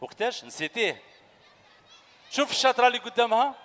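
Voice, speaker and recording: A man's voice: very high voice, fast talker. A man in his thirties with an Algerian Arabic accent, hosting a children's show and quizzing children on stage with a handheld mic in an echoing sports hall. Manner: animated, coaxing, asks children questions. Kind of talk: lively quiz with children on stage. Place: large indoor sports hall full of children. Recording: echoey hall, loud crowd.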